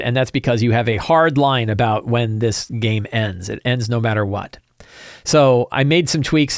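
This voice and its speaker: A man's voice: medium pitch, no echo, studio quality. An older man hosting a tabletop RPG talk show, chatting casually on his own and rambling with frequent restarts. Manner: rambling, repeats words and restarts often. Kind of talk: casual solo commentary.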